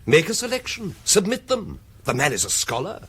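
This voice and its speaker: A tactful magistrate in a radio drama. His voice is husky and moves very widely in pitch, slightly high overall. He is courteous, dry and a touch hesitant. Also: sing-song, moderate pace, a bit bright, heavily acted.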